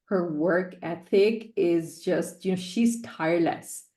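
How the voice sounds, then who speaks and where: A woman's voice: fairly low pitch, takes it slowly, dark tone, echoey room. A woman in her forties telling her personal story as a podcast guest, earnest and repeating key phrases for emphasis. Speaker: guest, sales coach.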